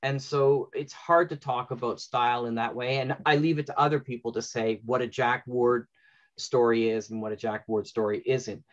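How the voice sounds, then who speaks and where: A man's voice: mid-range voice, steady tone, normal speed. Middle-aged man on a dull video call. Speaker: panel host and audio drama writer. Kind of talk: informal panel talk. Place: indoor room at home, on a video call.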